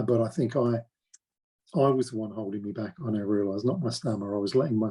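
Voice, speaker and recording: A man in his forties speaks slowly and reflectively, stammering through blocked sounds and repeated words. His voice is mellow and a little husky, and he often stops to restart a thought. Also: mid-range voice, poor audio. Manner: hesitant, many fillers and restarts. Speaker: interviewee. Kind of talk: reflective personal account.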